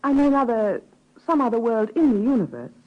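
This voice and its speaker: Casting: sorrowful lover in wartime, husky, intimate, restrained pleading, muffled vintage radio play. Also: female voice, a little high, big pitch swings, fairly quick. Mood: coaxing. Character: sad, weary wartime woman, the officer's lover. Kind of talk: vintage radio drama acting. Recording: dull vintage radio recording.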